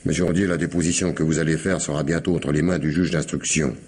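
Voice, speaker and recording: An actor playing a shrewd, sardonic police commissioner in a radio crime drama, questioning with wry insistence and theatrical hesitations. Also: male voice, a bass voice, fairly even intonation, fast talker, darkish tone, a little hiss or hum. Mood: brisk authority.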